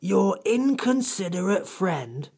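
A man voicing a haughty, complaining furry magical creature in a creaky, husky storybook character voice. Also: high voice, big pitch swings, slow pace, a little mellow, pitched above the performer's own voice, heavily acted. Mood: irritated, disdainful. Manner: crabby, pompous, disdainful.

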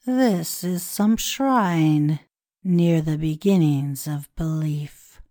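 A grave Egyptian priest from a storybook, played by a woman, speaks in a low, dark voice. The delivery is extremely slow and solemn, with formal, old-world phrasing, recorded dry and close. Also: no echo. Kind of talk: dramatised storybook reading.

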